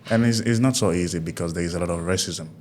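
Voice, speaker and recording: A young man from Africa speaks with a Nigerian-tinged accent in a very low voice. He tells his stories in a relaxed, slangy way. He sounds easygoing, as if talking with friends in a casual podcast chat. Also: fast talker, slight background noise. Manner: relaxed storyteller, laid-back slang.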